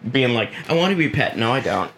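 A man's voice: medium pitch, very animated, fast talker, fairly bright tone. A man of forty co-hosting a podcast in casual chat, with a joking, profane manner. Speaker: podcast co-host. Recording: faint background noise.

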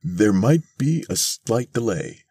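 Guy doing a slick stalling showman from a story, theatrical, raised husky voice, always placating. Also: lowish voice, expressive, slow, mellow, no room sound, studio quality, voice pushed up, exaggerated voice. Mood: ingratiating. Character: slippery, placating showman stalling his angry customers.

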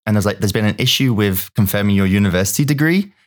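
A man from the UK talks as a podcast guest in a low voice. He speaks informally, telling stories in a conversational style. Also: expressive, quick pace. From United Kingdom. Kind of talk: casual storytelling.

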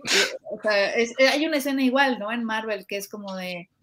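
A woman, a podcast co-host who jumps in with quick quips. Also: on the high side, fairly bright tone, rough recording. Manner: quick quips, laughing asides. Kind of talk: casual chat. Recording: clear background noise.

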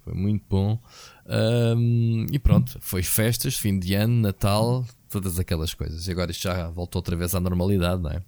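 Homem rouco, voz grave, seca. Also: male voice, deep voice, moderate pace, no room sound. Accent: European Portuguese. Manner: relaxed, rambling, many fillers and restarts. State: hoarse. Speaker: podcast co-host. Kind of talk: casual chat about video games.

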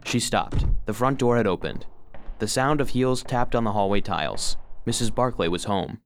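An actor playing an earnest, sensitive household robot who narrates his own story for children in an expressive, heartfelt way. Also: lowish voice, moderate pace, close to an everyday voice. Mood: suspenseful. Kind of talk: scripted first-person storybook narration for children.

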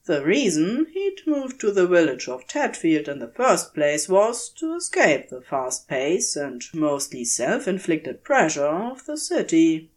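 A woman narrates fiction like an audiobook reader. Her voice sits a little low and she takes her time, measured and deliberate. The melody of her speech moves widely, giving the prose an expressive storytelling shape.

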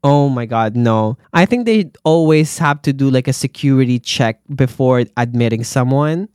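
A man hosting a podcast, reading listener stories aloud and reacting with animated exclamations and frequent laughter. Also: medium pitch, normal speed, smooth, no room sound, clean studio sound. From Philippines. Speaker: podcast host, nurse.

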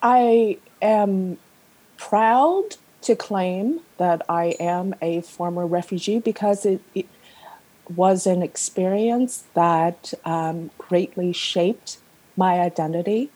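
Slow, soft-spoken woman from Vietnam. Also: lowish voice, low-quality recording. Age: 50s. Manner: slow, thoughtful, many fillers. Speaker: guest, novelist. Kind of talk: remote interview.

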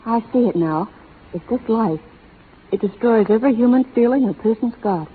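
Husky, dramatic young schoolmarm. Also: female voice, fairly high pitch, expressive, normal speed. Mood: anguished, defiant. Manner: earnest, dramatic delivery. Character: principled, refined young schoolteacher, passionate and unbending. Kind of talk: 1930s radio melodrama acting. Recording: tinny, muffled 1930s radio recording.

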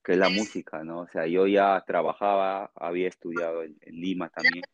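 A man with a Peruvian accent answering interview questions conversationally as a guest, explaining in a halting way and often checking with a short tag question. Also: low-pitched, little pitch movement, dull audio, heavy background noise. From Peru. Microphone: remote call.

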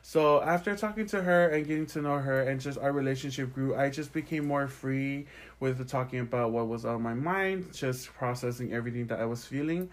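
A man's voice: medium pitch, brisk. A young man from American Samoa answering interview questions in an earnest, explanatory, reflective way. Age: young adult. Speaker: interview guest.

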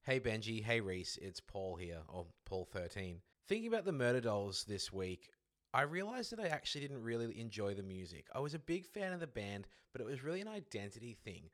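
Man, listener voicemail, low voice, lively pitch, measured and reflective. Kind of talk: recorded voice message.

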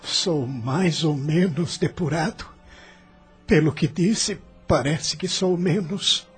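A man's voice: fairly high pitch, slow pace, slightly rough, soft background music, exaggerated voice. An actor with a Brazilian accent playing a confused, remorseful spirit of a dead man in a radio drama, anguished and questioning.

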